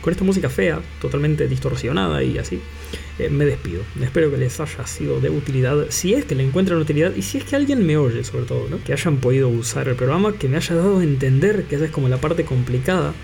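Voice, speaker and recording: A man giving an informal software demonstration, improvising and thinking aloud as he presents. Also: a little high, animated, quick pace, gravelly, faint music behind. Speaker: tutorial presenter.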